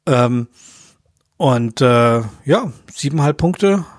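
A man's voice: medium pitch, expressive, slow pace, no room sound, clean studio sound. A man speaking as a podcast host in a casual, colloquial film review chat with slangy turns of phrase.

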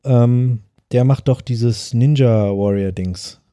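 A man co-hosting a podcast in casual chat, rambling and halting with many fillers. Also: mid-range voice, mellow, no room sound, studio quality. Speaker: podcast host.

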